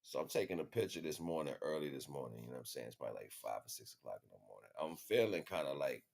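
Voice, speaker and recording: Male, American, low voice; loose, slangy streamer talking off the cuff, circling back on phrases. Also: lively intonation, quick pace, vocal fry, darkish tone. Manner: slangy, repetitive with frequent check-in phrases. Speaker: livestream host. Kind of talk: casual rambling monologue to viewers.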